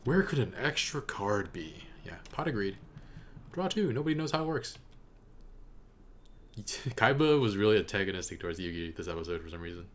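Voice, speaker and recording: Casting: young male reaction host, slightly deep voice, lively intonation, casual commentary. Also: normal speed. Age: young adult. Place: small room indoors. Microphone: desk condenser microphone.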